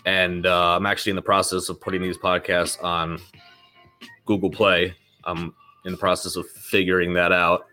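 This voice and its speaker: A man's voice: deep voice, little pitch movement, moderate pace, sharp tone, faint music behind. A man hosting his own podcast in loose, rambling casual talk, swearing freely and sounding slightly nasal from a lingering cold. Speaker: podcast host.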